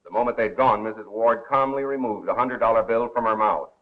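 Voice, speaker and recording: Polished 1930s radio crime commentator, played by an actor, dull soundtrack. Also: male voice, lowish voice, close to an everyday voice. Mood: measured storytelling. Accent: American. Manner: polished, measured announcer delivery. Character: suave, wry male radio crime commentator and amateur sleuth. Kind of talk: 1930s American film acting as a radio newscaster.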